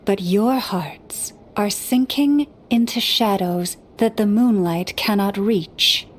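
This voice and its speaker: A serene, solemn leader, voiced by a woman who speaks in grand, formal phrases at a steady middle pitch. A faint hiss sits under the game-dub recording. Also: natural voice. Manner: formal, measured, lofty. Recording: faint background noise.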